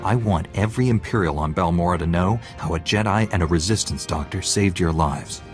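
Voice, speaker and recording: An actor playing a male Jedi Knight hero in a game cutscene, speaking in a steady, commanding way. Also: low-pitched, fairly quick, natural voice. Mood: resolute. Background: game music.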